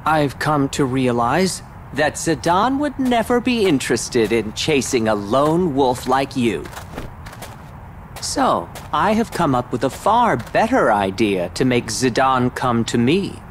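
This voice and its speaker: A man's voice: mid-range voice, very animated, slow, a little hiss or hum. Theatrical, swooping male villain. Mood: gloating. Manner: taunting, grandiose, theatrical. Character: vain, theatrical male villain, mocking and scheming. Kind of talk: video game cutscene acting.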